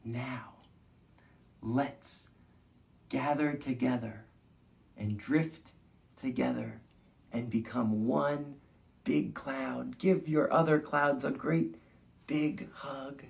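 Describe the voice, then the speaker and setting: A man's voice: mid-range voice, steady tone, very slow, gravelly, long pauses, a little mellow. A man working as an educator, leading an interactive storytime for young children with simple guiding phrases and questions. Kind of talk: interactive children's read-along with movement prompts.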